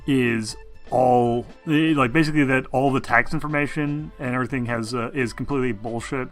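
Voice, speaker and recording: A man running a tabletop game as game master, talking casually and haltingly with some swearing. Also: medium pitch, fairly even intonation, soft background music. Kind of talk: casual tabletop game narration over a group call.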